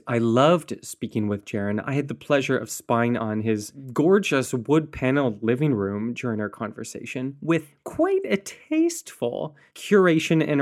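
Man, podcast host, teasing and playful, mid-range voice. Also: medium pitch. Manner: playful, teasing, theatrical asides. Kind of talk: podcast intro and casual interview.

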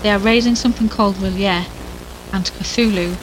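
A woman's voice: medium pitch, fairly even intonation, moderate pace, noisy background, lightly acted. A woman playing a determined, wry investigator in a horror audio drama, plain-spoken and conversational with some urgency. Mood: urgent. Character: determined, wry female investigator racing against doom.

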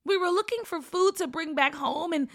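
A woman's voice: very high-pitched, moderate pace, fairly bright tone, pitched above the performer's own voice. A woman voicing a plucky young girl in a story, earnest and casual in her way of talking. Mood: rambling, apologetic.

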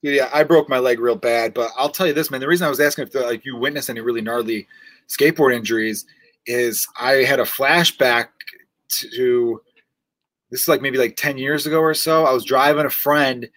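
A man's voice: mid-range voice, fairly bright tone, no room sound. A man hosting a casual podcast, asking questions and teasing his guest. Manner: teasing, quick-talking interviewer. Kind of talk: casual chat.

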